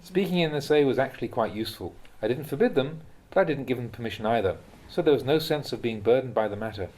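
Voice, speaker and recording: A man with a mid-pitched voice reads spiritual teachings in the style of an audiobook. His delivery is measured and instructive, and each phrase is clearly set out. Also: expressive, a little mellow, low-quality recording. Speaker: reader of translated Buddhist teachings.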